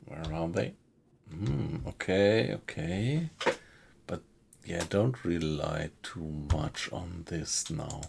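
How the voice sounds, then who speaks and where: A man with a German accent, chatting with viewers while he plays a submarine game, in a slow, hesitant way. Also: low voice, creaky, background noise. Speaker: game streamer. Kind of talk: casual live-stream commentary. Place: home room at night.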